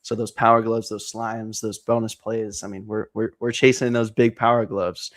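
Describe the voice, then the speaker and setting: Excited young man chatting about cards. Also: fairly low pitch, normal speed. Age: 20s. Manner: excitable, energetic, rambling with many fillers. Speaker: card break streamer. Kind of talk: casual chat on a stream.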